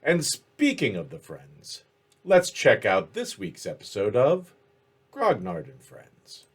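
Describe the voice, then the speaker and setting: A man with a cold, narrating a tabletop game as dungeon master in a slow, measured way. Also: mid-range voice, wildly swooping pitch, breathy edge. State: has a cold.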